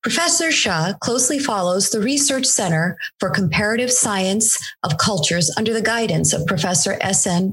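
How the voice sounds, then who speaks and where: A woman hosting an interview, reading a formal introduction and then asking questions with some fillers. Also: medium pitch. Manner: reads bio smoothly, then asks questions with fillers. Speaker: interview host.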